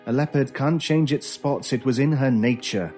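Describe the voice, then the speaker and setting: A man acting in an audio horror drama as a stern stranger who hunts monsters, speaking in a measured, solemn, menacing way. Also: mid-range voice, darkish tone, close to an everyday voice. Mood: cold, resolute. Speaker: voice actor. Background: music.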